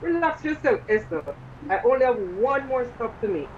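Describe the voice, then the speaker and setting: A woman's voice: on the high side, expressive, moderate pace, darkish tone, lo-fi sound, a little hiss or hum, close to an everyday voice. A woman playing a bossy, exasperated grandmother with a Jamaican accent in a radio drama, commanding in manner. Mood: insistent.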